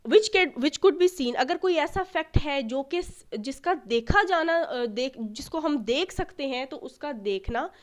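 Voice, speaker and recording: A woman's voice: high voice, animated, takes it slowly, few pauses. A woman delivers an explanatory lecture. She teaches in a didactic way, repeating each point and asking rhetorical questions. English legal terms are mixed throughout her explanation. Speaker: law tutor.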